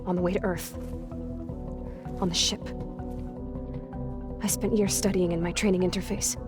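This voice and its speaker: A woman playing a withdrawn, anxious, bookish young clone in a video game, with halting speech and clinical wording. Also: fairly low pitch, flat delivery, close to an everyday voice. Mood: uneasy. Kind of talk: scripted video game acting. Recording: music behind the voice.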